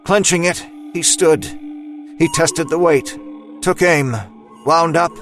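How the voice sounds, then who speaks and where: A man with a fairly high voice narrates a scripted drama. His phrasing is deliberate and literary, and faint music plays behind him. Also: fairly even intonation.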